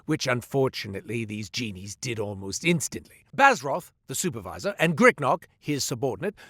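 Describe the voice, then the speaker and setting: An actor playing a sly, smooth-talking male merchant who spins tales while selling gear, in a game. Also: on the high side, a bit bright, no echo, studio quality. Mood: wry. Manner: theatrical, salesman patter, wry. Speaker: shopkeeper narrating a tale. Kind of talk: studio game voice lines. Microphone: close studio mic.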